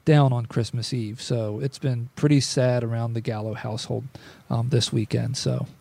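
Mellow-voiced podcast host, dry close mic. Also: male voice, medium pitch, steady tone, normal speed, vocal fry, dry recording. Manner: loose, rambling with fillers. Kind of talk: casual chat.

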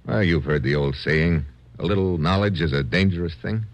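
An actor playing a tough, unflappable American adventurer in a 1950s radio drama, with a wisecracking, hardboiled delivery. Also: male voice, sits low, normal speed, close to an everyday voice. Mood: relaxed, wry. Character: tough, wry, unflappable American adventurer hero narrating his exploits. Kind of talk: 1950s radio drama acting and first-person narration.

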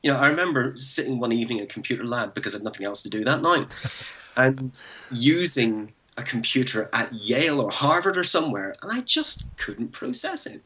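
A man in his forties from Belfast with a Northern Irish accent, thinking back as a podcast guest, with frequent restarts and soft laughs. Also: medium pitch, sing-song, brisk. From Northern Ireland. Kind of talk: relaxed interview over a call.

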